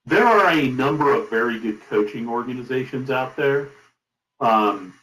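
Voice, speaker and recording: A middle-aged man being interviewed as a company founder, giving advice in an emphatic, deliberate conversational way. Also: mid-range voice, animated, a bit bright, slight background noise. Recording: roomy, echoing sound.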